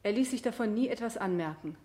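A woman's voice: on the high side, animated, fast, warm tone, natural voice. An actress playing a troubled married woman giving reluctant testimony in a courtroom radio drama, terse and emotionally strained. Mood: subdued. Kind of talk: 1970 German courtroom radio drama acting.

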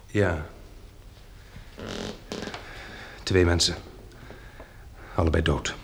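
A man's voice: deep voice, fairly even intonation, slow pace, fairly bright tone, full character voice. Dry, practical man, noisy recording. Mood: grim. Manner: matter-of-fact, dryly ironic. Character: practical, wry man hardened to survive catastrophe. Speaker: radio drama actor. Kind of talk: radio drama dialogue.

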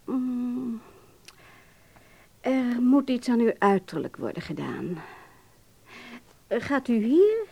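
Alluring film-world lady, slow-paced, amused, musing, mellow tone, radio play. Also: fairly high pitch, sing-song, creaky, full character voice. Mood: amused, flirtatious. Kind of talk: Dutch radio drama acting.

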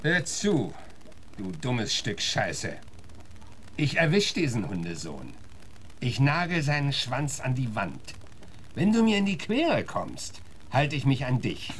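An actor playing a tough, threatening Wild West marshal in a German radio play, questioning bluntly and insistently. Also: male voice, medium pitch, huge pitch swings, takes it slowly, a little hiss or hum. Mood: menacing.

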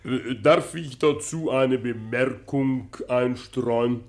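A man's voice: medium pitch, slow pace. A man playing a pompous, self-righteous professor and moral crusader in a German radio play, didactic and easily affronted. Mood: lecturing, solemn.

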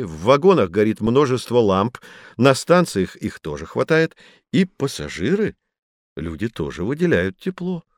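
A man's voice: medium pitch, dry recording, clean studio sound. A man playing a kindly, patient professor explaining things to children in a scripted educational audio play. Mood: encouraging, instructive. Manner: instructive, encouraging.

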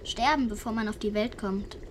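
A woman's voice: mid-range voice, normal speed, background noise, lightly acted. A female actor playing a curious, frank little village girl in a German radio drama, in standard German.

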